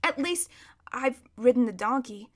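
Woman as a high-pitched, naive, eager boy. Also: lively intonation, a little slow. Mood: uncertain. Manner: earnest, questioning. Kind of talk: children's storybook character reading.

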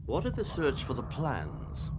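An actor playing a cold, ruthless Imperial commander with clipped, menacing authority in a space-opera radio drama. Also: male voice, medium pitch, wildly swooping pitch, unhurried. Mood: cold, confident. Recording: radio drama over clear background noise.

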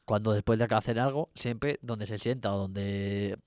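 A man's voice: on the low side, fairly even intonation, moderate pace, a little mellow, slight background noise. A young man from Spain co-hosting a student radio show, chatting in a laid-back, slangy way. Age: young adult. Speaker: co-host. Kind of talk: casual radio chat. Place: university radio studio.

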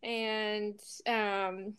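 A woman's voice: mid-range voice, level tone, extremely slow, a bit bright, clean tone. A woman from Quebec City whose first language is French, chatting as a podcast guest in an upbeat way and laughing between sentences. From Quebec City, Canada. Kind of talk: casual chat.

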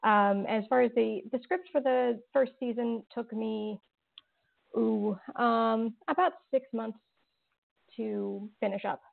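A woman's voice: on the high side, steady tone, takes it slowly, gravelly. A woman, a horror writer and interview guest, answering thoughtfully with lots of fillers and restarts. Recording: tinny phone-like line.

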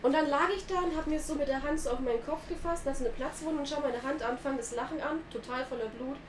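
A nineteen-year-old woman co-hosting a casual chat, telling stories in a lively, animated way and laughing at herself. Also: sits high, quick pace. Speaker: podcast co-host. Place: sitting on a church pew. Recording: echoey room with clear background noise.

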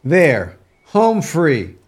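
A man's voice: fairly high pitch, wildly swooping pitch, very slow, breathy edge, exaggerated voice. Casting: kindly country neighbor for family radio drama, a male actor, easygoing and folksy, with widely swinging intonation. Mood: reassuring. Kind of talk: radio play acting.

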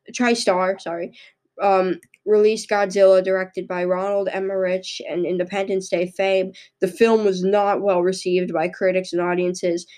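A young woman with a cold and a hoarse voice, hosting a podcast and reading her notes in a halting way, often stumbling and restarting. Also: medium pitch, darkish tone. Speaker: podcast host. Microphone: microphone into a laptop.

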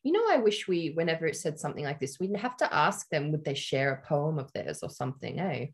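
A woman with a deep, low voice co-hosting a casual chat, talking loosely and laughing often. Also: sing-song, a little fast. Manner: giggly, chatty, laughs often. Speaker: podcast co-host. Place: Australia.

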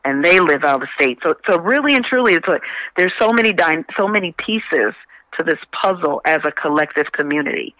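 A man with a bright, forceful voice, pitched a little high, recorded very dry. He talks conversationally as a radio guest, earnest and emphatic, often circling back to rephrase. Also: animated, fairly quick, no echo, low-quality recording. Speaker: radio show guest, stage play promoter. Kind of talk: conversational radio interview talk.

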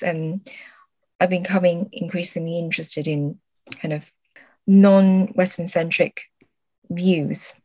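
A woman's voice: lowish voice, dry recording. A woman speaking as a literary translator in an interview, reflective and hesitant as she searches for words.

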